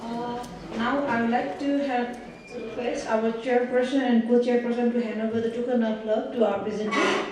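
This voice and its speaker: A woman's voice: a little high, steady tone, normal speed, crowd noise. A woman emceeing a conference, making polite, formal announcements. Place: large echoey conference hall. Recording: echoey hall, distant mic, noisy.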